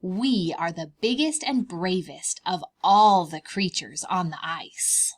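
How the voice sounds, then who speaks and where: A woman voicing a gentle, reassuring mother polar bear in a storybook, patient and soothing. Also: lowish voice, slow pace. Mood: gentle reassurance.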